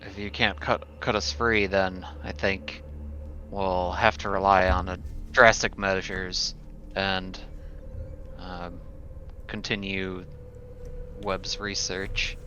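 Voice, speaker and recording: A man's voice: low voice, flat delivery, takes it slowly, pauses often, pushed and bright, muffled recording, a little hiss or hum. A man playing a tabletop roleplaying game, talking casually and hesitantly in trailing phrases. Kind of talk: casual in-character roleplay chat.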